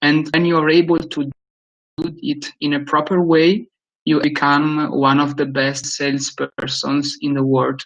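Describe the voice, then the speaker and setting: A man from Italy with a strong Italian accent, speaking English as a guest speaker in a webinar Q&A, with frequent pauses and restarts. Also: a little high, little pitch movement, a bit bright, muffled recording. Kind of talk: webinar Q&A answer.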